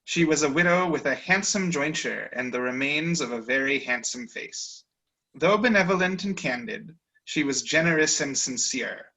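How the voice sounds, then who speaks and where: Bright mock-grand male reader. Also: on the high side, rough recording. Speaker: reader of the story's narration. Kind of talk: dramatic reading. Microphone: video call.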